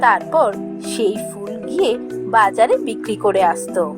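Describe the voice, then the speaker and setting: A woman narrating a scripted fairy tale in a storytelling manner, pausing between short phrases. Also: medium pitch, expressive, music in the background.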